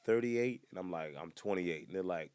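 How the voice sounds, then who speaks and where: A low-voiced man in his twenties talks through a casual comedy podcast monologue. He stays relaxed, then jumps into loud, high-pitched bursts when he acts out other people. The sound is dry and close, with no room echo. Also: moderate pace, clean tone. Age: 20s.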